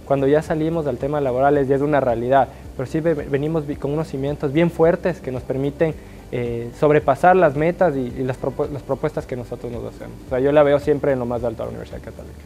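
A young man from Guayaquil who speaks with an Ecuadorian highland accent, giving an earnest, upbeat testimonial in a small studio, often restarting his phrases. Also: steady tone, fast talker, gravelly, mellow. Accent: Ecuadorian highland (serrano). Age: young adult. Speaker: alumnus interviewee. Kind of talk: sit-down testimonial interview.

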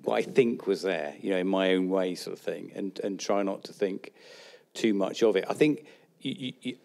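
A man's voice: deep voice, normal speed, vocal fry, darkish tone. A middle-aged man, a portrait painter answering questions on a panel, hesitant and self-deprecating, restarting his sentences. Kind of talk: casual panel talk. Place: college event hall with an audience.

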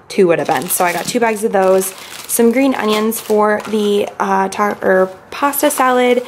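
A woman's voice: medium pitch, slight background noise. A young woman vlogger chatting casually and upbeat about her shopping, filmed at home with a handheld camera. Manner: chatty and upbeat, lots of fillers. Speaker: lifestyle vlogger. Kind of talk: casual grocery haul chat. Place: indoors at home, kitchen counter. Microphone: handheld camera at arm's length.

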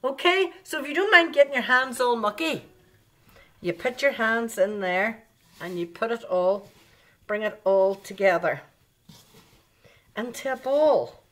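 A woman's voice: on the high side, animated, unhurried, pauses often, a bit bright. Chatty older woman teaching baking. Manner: chatty, talks directly to children, coaxing questions. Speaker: baking show host. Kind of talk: children's cooking demonstration. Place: home kitchen, indoors.

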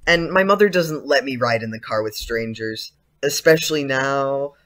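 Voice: medium pitch, moderate pace, a bit bright, close to an everyday voice. An actor playing a polite, skeptical, uneasy schoolboy in an audio play, speaking hesitantly. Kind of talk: audio drama acting.

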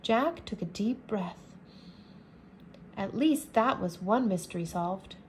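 A woman teacher reading a children's chapter book aloud, slowly and clearly, indoors at a table. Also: mid-range voice, lively intonation, slight background noise, low-quality recording. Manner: slow, careful, clear reading. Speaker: English teacher.

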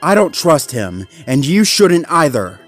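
A man's voice: on the high side, big pitch swings, measured pace, fairly bright tone, soft background music, voice pushed up. A man voicing a bitter, accusing Labrador pup from a cartoon, confrontational and emphatic in manner. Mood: insistent, distrustful.

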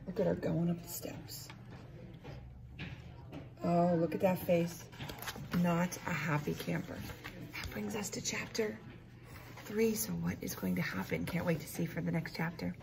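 A middle-aged woman reading a children's story aloud like a teacher, expressive and emphatic for young pupils. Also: on the low side, a little slow, vocal fry, pauses often. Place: small classroom, indoors. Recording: noticeable room noise on device mic.

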